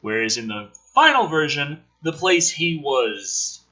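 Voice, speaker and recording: A man with a slightly rough voice reading a script aloud dramatically, dropping in sardonic, profane asides. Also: fairly high pitch, very animated, measured pace, sharp tone. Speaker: host reading a film script aloud with commentary.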